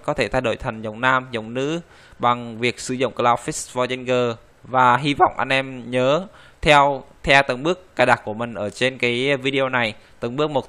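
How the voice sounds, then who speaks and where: A man giving an informal software tutorial, often repeating words and phrases. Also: mid-range voice, clean tone. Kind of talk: informal screen-recorded tutorial.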